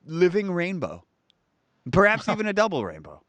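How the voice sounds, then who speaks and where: A man's voice: high voice, moderate pace, no room sound. A man in his thirties co-hosting a live stream, chatting casually and rambling through stories and viewer comments. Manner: animated, chatty, rambling with restarts.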